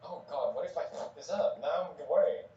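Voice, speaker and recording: A man's voice: a little fast, warm tone. A young man whose first language is Swedish, joking and self-mocking through a quiz with a friend. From Sweden. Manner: playful, self-mocking, drops to low mumbles. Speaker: quiz game player. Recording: roomy, distant, dull lo-fi clip.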